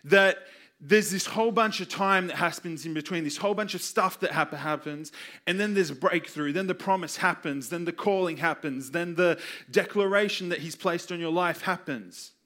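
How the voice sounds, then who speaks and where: High-voiced young man preaching. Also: moderate pace, sharp tone. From Australia. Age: 20s. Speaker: youth pastor preaching. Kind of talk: sermon, personal storytelling.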